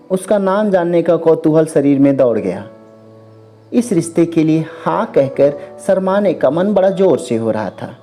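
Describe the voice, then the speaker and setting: A storyteller narrating a scripted first-person story in an expressive, dramatized way. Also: mid-range voice. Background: background music.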